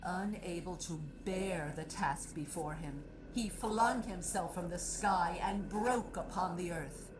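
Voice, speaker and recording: Female AI tyrant for a game, lowish voice, slow and deliberate, cold, grandiose, commanding. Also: noisy background. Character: cold, imperious female machine overlord running a city. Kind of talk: dramatic video game voice acting. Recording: music behind the voice.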